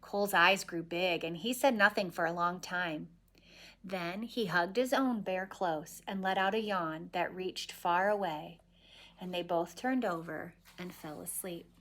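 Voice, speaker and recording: A woman reading a children's picture book aloud expressively, with dramatic pauses. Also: fairly low pitch, fairly bright tone. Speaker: story reader.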